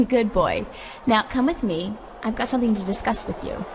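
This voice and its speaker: A woman's voice: medium pitch, moderate pace, close to an everyday voice. An actress playing a flirtatious, manipulative female wrestling champion in a video game, sugary and coaxing, in noisy audio.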